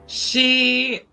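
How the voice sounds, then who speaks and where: A woman's voice: sits high, gravelly, bright, forceful tone. A woman chatting casually as a tabletop game player, thinking aloud with a giggly, informal manner.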